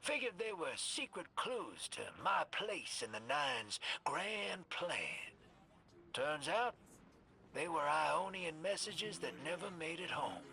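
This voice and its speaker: A man's voice: high-pitched, sing-song, slow pace, vocal fry, bright, forceful tone, full character voice. An actor playing a weary male frontier scavenger with a drawling, folksy, reflective manner. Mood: wistful. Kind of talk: video game voice acting.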